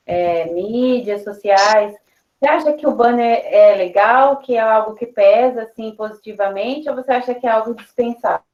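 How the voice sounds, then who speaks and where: Student, woman, polite and hesitant, dull echoey call audio. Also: a little high. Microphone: video call.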